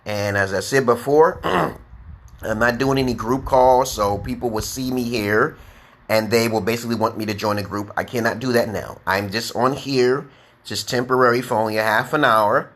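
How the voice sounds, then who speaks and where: A man with a New York accent teaching beginner English on a live stream, speaking slowly and emphatically and checking in with "okay?". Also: fairly low pitch, fairly bright tone. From United States. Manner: drawn-out, emphatic, repeats letters and "okay?". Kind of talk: slow deliberate live-stream lesson.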